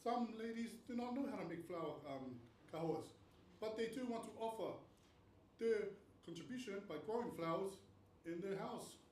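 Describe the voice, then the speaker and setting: A man's voice: high-pitched, animated, moderate pace, a little mellow. A man who grew up in Tonga, lecturing on a panel about research stages, earnest and explanatory, often asking rhetorical questions. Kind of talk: academic panel presentation. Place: panel venue room. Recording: roomy, distant mic, faint noise.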